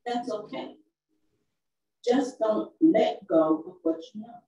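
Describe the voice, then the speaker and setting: A woman minister giving a measured, emphatic devotional reading in a church sanctuary. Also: a little high, warm tone, dull audio. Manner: measured, emphatic pulpit delivery. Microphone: far camera. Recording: echoey church from far off.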